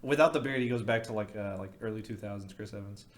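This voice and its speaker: A young man with a stuffy nose co-hosting a casual podcast chat, joking and teasing in quick banter. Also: on the low side, echoey room. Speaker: podcast co-host.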